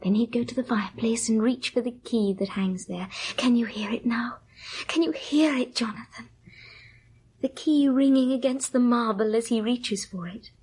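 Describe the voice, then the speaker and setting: Breathy, high-voiced wistful young wife. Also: female voice. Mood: entranced, yearning. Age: young adult. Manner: hushed, slow, dreamy, insistent. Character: fragile, wistful young wife clinging to waiting. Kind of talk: old radio drama acting.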